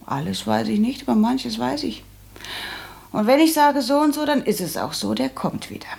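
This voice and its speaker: Young daughter, radio drama. Shrewd and blunt, husky voice with gravelly fry. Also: female voice, fairly high pitch, animated, moderate pace, darkish tone, exaggerated voice. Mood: certain, scornful. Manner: blunt, knowing, colloquial. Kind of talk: German radio play acting.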